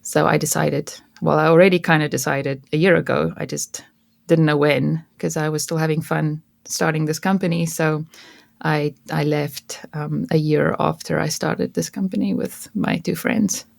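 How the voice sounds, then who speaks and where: Brief: South African woman guest, Afrikaans native, chatty with stumbles, low fry-edged voice. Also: fairly even intonation, normal speed, no room sound, clean studio sound. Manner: chatty, restarts often, laughs easily. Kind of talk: casual interview chat.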